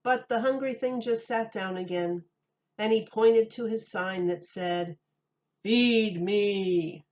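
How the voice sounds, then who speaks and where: A woman reading a children's picture book aloud like a teacher, in a deliberate, expressive way. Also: a little high, muffled recording. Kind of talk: children's storybook read-aloud.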